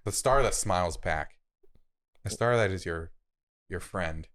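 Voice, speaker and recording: A man's voice: low voice, a little slow, fairly bright tone. A man with an American accent co-hosting a podcast in casual, playful banter, teasing and exclaiming as he talks. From United States. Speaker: podcast co-host.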